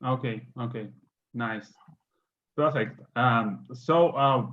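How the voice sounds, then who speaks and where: A man's voice: medium pitch, slow pace. A man moderating an online panel, hesitant and full of fillers. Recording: dull lo-fi video call audio.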